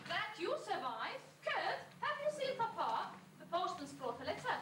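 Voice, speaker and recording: A woman's voice: very high-pitched, very animated, moderate pace, background noise. A woman playing a sweet, sensible young heroine in a rhyming amateur stage pantomime. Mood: brisk, friendly. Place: school hall stage, distant microphone. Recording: distant, echoey stage recording with music.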